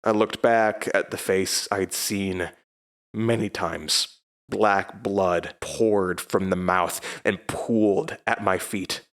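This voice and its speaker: Horror audio drama lead: haunted first-person narrator, lowish voice, measured and hesitant. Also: male voice, steady tone. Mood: grave. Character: haunted man recounting his horrifying past.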